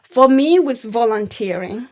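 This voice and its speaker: A young woman from Thailand, a Karen refugee, speaking slowly and reflectively as a guest in an interview. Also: fairly high pitch, expressive. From born in Thailand, Karen refugee. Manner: slow, measured and reflective, with gentle laughs. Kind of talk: conversational interview.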